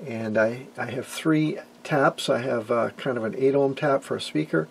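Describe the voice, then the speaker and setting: A man giving an informal hands-on demonstration as a hobbyist, explaining things in a hesitant way with pauses mid-phrase. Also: mid-range voice, creaky, a little hiss or hum. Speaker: amateur radio hobbyist and presenter.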